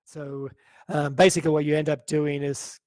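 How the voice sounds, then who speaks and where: A man teaching a live online coding class, thinking aloud and explaining in a relaxed way. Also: a little high, normal speed, no room sound.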